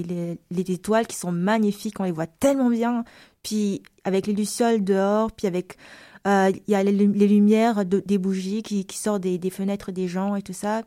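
A woman guest with a slight Malagasy French lilt talks in a relaxed, halting way, stumbling over words and repeating them as she searches for what to say. Her pitch sits in an ordinary middle range for a woman. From Madagascar. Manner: hesitant, many repeated words and fillers. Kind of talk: casual interview answers.

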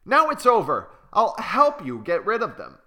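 A man's voice: sits high, huge pitch swings, normal speed, bright, forceful tone, pitched above the performer's own voice, heavily acted. A man voicing a small, brave, clever male weaver bird who talks eagerly and firmly. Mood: encouraging. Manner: eager, encouraging and firm. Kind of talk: storybook reading.

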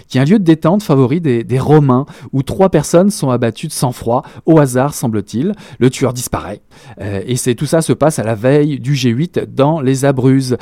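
Man with a mellow dry voice. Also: medium pitch, no room sound. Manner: hesitant, many fillers and restarts, jokes about own pronunciation. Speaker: radio book-show co-host. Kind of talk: casual book review chat.

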